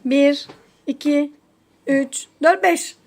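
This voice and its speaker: A woman's voice: high voice, extremely slow, a little hiss or hum. A woman playing a casual family game as a mother, counting and coaxing in a playful, encouraging way. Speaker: mother leading a children's game.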